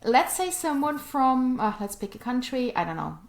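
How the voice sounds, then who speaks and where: A woman hosting a podcast, asking her guest questions in a friendly way with pauses. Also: high voice, very animated, creaky. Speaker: podcast host. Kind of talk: interview questions.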